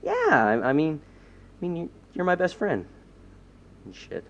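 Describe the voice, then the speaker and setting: A man playing an earnest young self-styled superhero in an audio drama, talking informally and haltingly. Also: fairly high pitch, sing-song. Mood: awkward, tender. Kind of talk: naturalistic audio drama dialogue. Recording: slightly dull, muffled recording.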